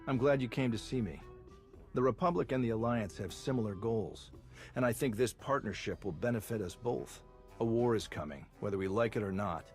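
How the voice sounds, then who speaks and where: An actor playing a suave, flirtatious Republic spy in a video game, smooth-talking and casual. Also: male voice, fairly low pitch, brisk, faint music behind, natural voice. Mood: confident, persuasive. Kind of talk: video game cutscene acting.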